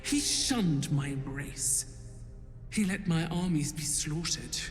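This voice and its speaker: Dark goddess for a game: commanding, coldly approving, grandiose, unhurried, cavernous echo. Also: female voice, low voice, expressive. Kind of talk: dramatic video game acting. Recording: echoing hall reverb, music behind.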